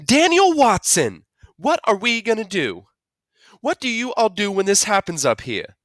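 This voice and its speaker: Guy putting on a fretful southern mama, high shaky voice, storybook style. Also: sing-song, measured pace, a bit bright, no room sound, studio quality, exaggerated voice. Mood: alarmed. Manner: anxious, fussing, soothing. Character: worried, tender Southern mother, easily alarmed.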